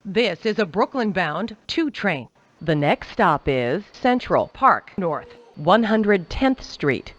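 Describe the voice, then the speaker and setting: A woman giving recorded train announcements in a measured, formulaic announcer delivery. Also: on the low side, big pitch swings, highs cut off. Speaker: transit announcer.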